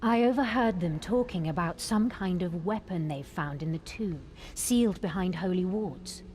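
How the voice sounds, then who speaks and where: A woman's voice: fairly low pitch, normal speed, darkish tone, a little hiss or hum, lightly acted. A woman playing an earnest, grateful young nun held captive, speaking politely and formally in fantasy game dialogue. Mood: grave, worried. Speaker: game character. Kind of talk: voice-acted fantasy quest dialogue.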